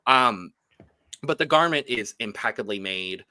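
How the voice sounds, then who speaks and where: A man's voice: mid-range voice, lively intonation, measured pace, bright, forceful tone. A man co-hosting a podcast, giving casual, opinionated reviews of fashion looks. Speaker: podcast co-host reviewing drag runway looks.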